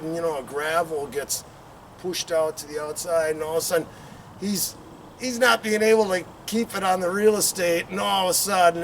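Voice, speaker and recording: A man's voice: a little high, a bit bright. A middle-aged man telling a casual, rambling anecdote, acting out bits as he goes, recorded outdoors on a patio from a distance. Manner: rambling, acts out quotes and engine sound effects. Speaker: storyteller. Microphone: camera several meters away. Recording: distant mic, outdoor background noise.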